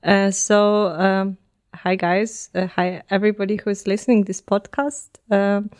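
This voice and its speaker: Smooth, even-toned woman. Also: mid-range voice, unhurried. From Ukraine. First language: Ukrainian. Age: 20s. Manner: many ums and uhs, non-native phrasing. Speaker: podcast guest. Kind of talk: casual interview answers.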